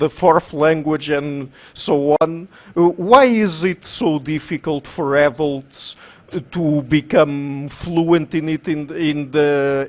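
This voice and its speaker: Slow-talking male podcast host. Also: fairly high pitch. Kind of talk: interview question.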